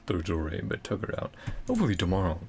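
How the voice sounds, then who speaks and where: A man's voice: low voice, wildly swooping pitch, fast, creaky, darkish tone. A man giving casual, off-the-cuff gameplay commentary, often muttering half to himself as he plays. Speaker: gaming video creator.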